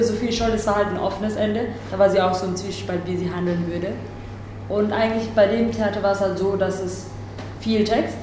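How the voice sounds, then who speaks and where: Zögernde junge Frau in hallendem Raum. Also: female voice, mid-range voice. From Germany. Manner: hesitant, searching for words. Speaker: youth jury member. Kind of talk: casual spoken review. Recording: roomy echo, faint noise.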